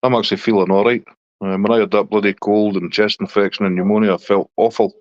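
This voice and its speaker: A man's voice: fairly low pitch, level tone, fast, a little mellow, no room sound, muffled recording. A middle-aged man from Scotland with a Scottish accent, chatting casually on a live stream and answering viewers' comments.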